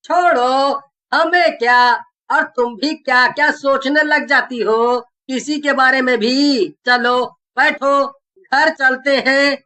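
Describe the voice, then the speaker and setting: A woman plays a devoted young husband in an animated horror tale. The voice is high, sharp and bright, taking its time. He sounds practical and indulgent, teasing as he reassures. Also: slow pace, exaggerated voice, rough recording. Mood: teasing, chiding. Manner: reassuring, gently chiding. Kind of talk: animated horror story dialogue.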